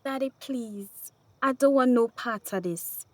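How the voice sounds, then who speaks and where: An actress playing a distressed but defiant young daughter in a radio drama, with a Tobagonian accent. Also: female voice, fairly high pitch, measured pace, no room sound, natural voice. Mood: pleading, defiant. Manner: pleading, defiant.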